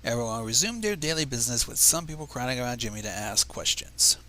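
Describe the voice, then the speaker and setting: A man reading a story aloud on a livestream in an expressive, informal, theatrical and irreverent way. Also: medium pitch, fast talker. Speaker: fan fiction reader on a livestream.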